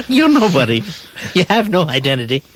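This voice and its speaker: A man playing a patient, matter-of-fact guardian angel in a comedic radio drama, with a husky voice. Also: on the high side, huge pitch swings, normal speed, a little hiss or hum, low-quality recording. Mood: patient, insistent. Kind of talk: comedic radio show read-through.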